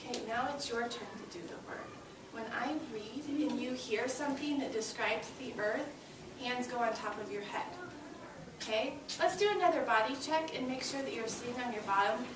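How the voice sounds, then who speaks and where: Woman, elementary school teacher, high voice, prompting young pupils, roomy classroom recording, some background noise. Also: normal speed, far from the mic. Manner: addresses young children directly with short prompts and questions. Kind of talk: interactive classroom read-aloud lesson.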